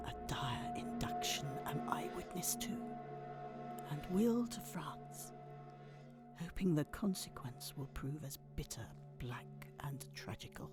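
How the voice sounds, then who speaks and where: A woman's voice: sits low, expressive, takes it slowly, loud music behind the voice. A woman playing a bitter, vengeful old deposed queen in an audio drama, moving from hissed whispers to fierce curses. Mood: whispering bitterly. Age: older. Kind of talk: Shakespearean audio drama acting.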